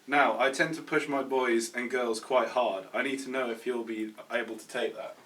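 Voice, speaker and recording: Lecherous, patronising casting director, read by a man, medium pitch, slightly off-mic, amateur comedy read. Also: steady tone, moderate pace, fairly bright tone, natural voice. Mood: suggestive. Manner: suggestive, patronising. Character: creepy, lecherous male casting director, smooth and suggestive. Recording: distant mic, faint room noise.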